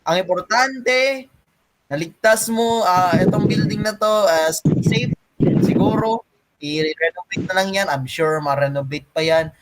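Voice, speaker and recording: A man with a Filipino accent hosting an improvised game show interview in a halting, repetitive way. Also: sits high, background noise. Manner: halting, repetitive, many sirs. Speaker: show host.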